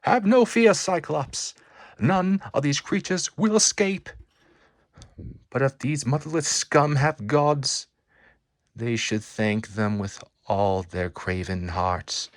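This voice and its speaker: A man's voice: medium pitch, lively intonation, takes it slowly, no room sound, pitched above the performer's own voice. A man voicing a regal, proud superhero woman who speaks with grand, solemn, wrathful formality in a comic book read-aloud.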